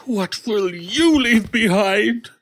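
A man acting in an audio horror drama as a grieving, protective shopkeeper, with a husky voice and an emotional, reproachful manner. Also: sits high, lively intonation, slow, fairly bright tone, full character voice. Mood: bitter, reproachful. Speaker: voice actor. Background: music.

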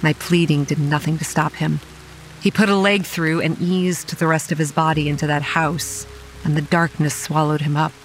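A woman's voice: deep voice, fairly even intonation, moderate pace, close to an everyday voice, low-quality recording. A young woman played by an actress tells a horror story in the first person, as a campground manager on edge. Her delivery is wry and given to sardonic understatement, with music behind her. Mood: shaken, dread. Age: young adult. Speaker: narrator and lead character. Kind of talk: first-person horror audio drama narration. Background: rain and music.

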